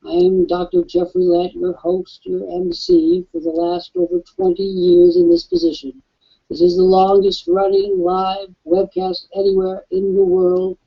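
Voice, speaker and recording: A raspy-voiced man hosting a live webcast and pitching an offer, emphatic like a salesman. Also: lively intonation, measured pace. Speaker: webcast host. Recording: dull lo-fi webcast audio.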